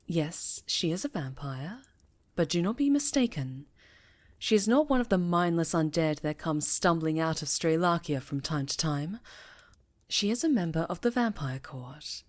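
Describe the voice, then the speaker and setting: Woman voicing a witch coven leader, stern, lowish pitch, formal, measured, commanding, fantasy ASMR roleplay. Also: lively intonation. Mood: grave, measured. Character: stern, commanding female high enchantress leading a witch coven.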